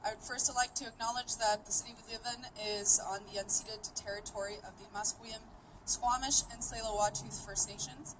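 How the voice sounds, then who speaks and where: A high-pitched woman in her thirties, whose was Greek, delivers a steady, determined speech outdoors. The mic sits well away from her, and a faint hiss runs underneath. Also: normal speed. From Canada. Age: 30s. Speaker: rally speaker. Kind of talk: prepared public speech. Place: outdoor rally. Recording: voice picked up from a distance.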